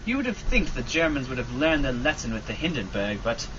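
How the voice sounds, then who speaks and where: Guy doing a stuffy old-fashioned gent in a radio drama, very animated, muffled with noise behind. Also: mid-range voice, brisk, natural voice. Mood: jovial, pompous. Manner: pompous, old-fashioned gentlemanly. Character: pompous, jovial company president and puzzled airship captain. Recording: muffled mic, clear background noise.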